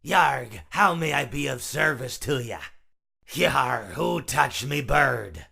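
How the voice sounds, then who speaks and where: Gravelly pirate robot. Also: male voice, mid-range voice, animated, slow, sharp tone, heavily acted. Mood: gruff, wry. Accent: stage pirate. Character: gruff, obliging pirate animatronic. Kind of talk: video game character voice lines.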